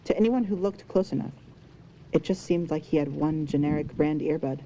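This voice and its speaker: A woman's voice: low-pitched, normal speed, warm tone, muffled recording. A woman narrator reading scripted fan fiction as an audio story. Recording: faint background noise and ambience.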